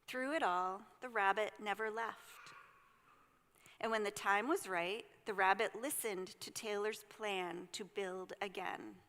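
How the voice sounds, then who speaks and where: Slow-paced woman storyteller in a reverberant hall. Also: mid-range voice, fairly bright tone. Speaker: storyteller at a church service. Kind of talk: expressive read-aloud of a children's picture book. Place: church sanctuary.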